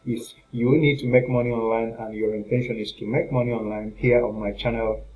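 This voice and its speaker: A man with a mid-pitched voice hosts a promotional review for his viewers. He talks off the cuff in a salesy, repetitive manner, often hesitating as he makes his pitch. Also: fairly even intonation, fairly quick, warm tone, rough recording. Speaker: online marketing product reviewer and channel host.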